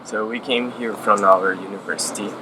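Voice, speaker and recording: A man's voice: lowish voice, steady tone, normal speed. A young man from Brazil, a Portuguese speaker, answering interview questions eagerly with many fillers. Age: young adult. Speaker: exchange student. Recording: clear background noise.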